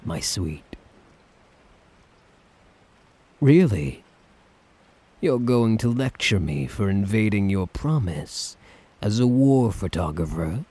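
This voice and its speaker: Guy doing a smug obsessive dictator for asmr roleplay, dark husky voice, swoops around a lot, drawls condescending sweet talk. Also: on the low side, exaggerated voice. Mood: amused, condescending. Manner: theatrical, drawling, condescending endearments. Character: smug, obsessive male tyrant dictator, menacingly affectionate. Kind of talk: ASMR roleplay, dramatic monologue to listener.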